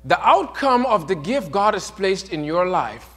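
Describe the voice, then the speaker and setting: A man preaching a sermon, moving from quiet, low passages to loud, emphatic peaks. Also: big pitch swings, a little slow, in a large hall. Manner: swings from soft to shouted, rising to emphatic peaks.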